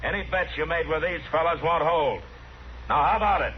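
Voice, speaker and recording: A man playing a calm, commanding, plain-spoken frontier marshal in an old-time western radio drama. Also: fairly high pitch, brisk, natural voice. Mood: firm, reasoning. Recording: noisy, dull vintage radio recording.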